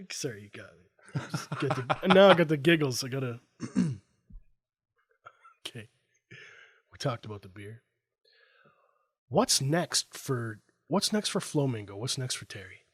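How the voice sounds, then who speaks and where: A man's voice: medium pitch, wildly swooping pitch, slow pace, pauses often, a bit bright, husky, no echo, studio quality. A man hosting a podcast, chatting in an easygoing way with a guest and reading the show's outro. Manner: easygoing, giggly. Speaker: podcast host. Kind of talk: casual podcast chat and scripted outro.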